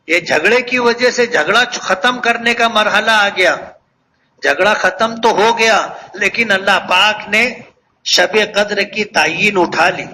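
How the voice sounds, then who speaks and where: A male cleric with a very high, bright voice preaches earnestly to a congregation. He takes his time and repeats key phrases to press them home. There is faint noise behind him. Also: poor audio. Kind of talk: religious sermon to a congregation.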